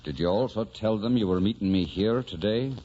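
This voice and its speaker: An actor playing a tender, wistful Irish rebel who dreams of farm life, speaking with an Irish lilt in old-time radio drama style. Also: male voice, on the low side, brisk, dark tone, lightly acted. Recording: dull vintage radio recording with music.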